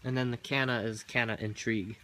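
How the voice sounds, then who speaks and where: A man's voice: mid-range voice, level tone, fairly bright tone, a little hiss or hum, low-quality recording. A young man giving a casual tour of his backyard garden while filming, talking with many ums and uhs. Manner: halting, many ums and uhs, chuckles at own jokes. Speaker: gardening vlogger. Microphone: handheld camera mic, filming himself off screen.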